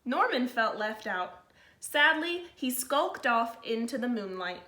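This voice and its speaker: A woman teacher reading a picture book to children in a slow, expressive way, with informal asides to her pupils. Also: a little high. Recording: roomy, echoey sound.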